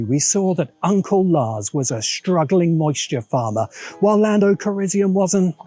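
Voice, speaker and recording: A man hosting a video essay, reading scripted narration in a measured, emphatic way with dramatic pauses. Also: a little high, a little mellow, husky.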